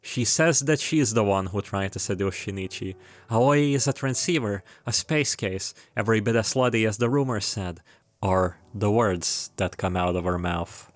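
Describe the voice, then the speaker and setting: A man with a fairly low voice reads a scripted story recap. He slips into informal, casual commentary, and the recording is dry and close. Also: normal speed, dry recording. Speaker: video creator narrating a game retrospective.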